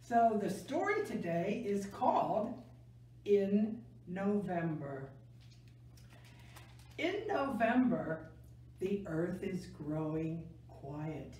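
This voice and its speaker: An older woman reading a picture book aloud to children in a gentle, deliberate way, stressing key words. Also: mid-range voice, big pitch swings. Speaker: library story time reader. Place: small indoor room, seated. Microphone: camera a few metres away. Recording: echoey room, distant mic, faint noise.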